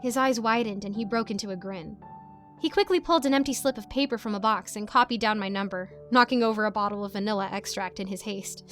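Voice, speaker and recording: A woman reading a scripted story as its narrator, with an expressive storytelling delivery. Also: medium pitch, fast talker, faint music behind.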